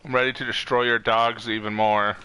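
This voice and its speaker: A man's voice: mid-range voice, level tone, a little fast, bright, forceful tone, clear, resonant tone, rough recording. A young man chatting casually while streaming a video game, close on the mic. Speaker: gaming streamer. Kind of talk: casual gameplay chat.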